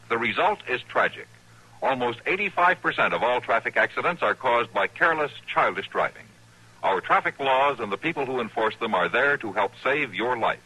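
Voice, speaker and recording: A man's voice: mid-range voice, bright, forceful tone, husky, poor audio. An American man reading scripted announcements in a 1950s radio announcing style. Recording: vintage radio broadcast recording.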